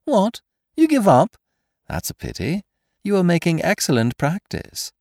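Man playing a smug gentleman villain, wildly swooping voice. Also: high voice, huge pitch swings, unhurried, gravelly, dry recording, clean studio sound, voice pushed up, full character voice. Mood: taunting, amused. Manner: mock-polite, theatrical, teasing. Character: smug, dapper, mocking gentleman villain, taunting his victim.

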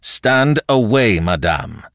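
A man's voice: deep voice, big pitch swings, measured pace, no room sound, clean studio sound, full character voice. A man voicing a stern, vengeful young hero in an audiobook, commanding and coolly ironic. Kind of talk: dramatic audiobook character acting.

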